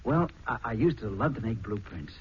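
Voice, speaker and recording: An actor playing a shy, artistic teenage nephew who stammers hesitantly and boyishly in a 1940s radio drama. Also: male voice, medium pitch, lively intonation, normal speed, close to an everyday voice. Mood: shy, wistful. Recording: dull, noisy 1940s radio recording.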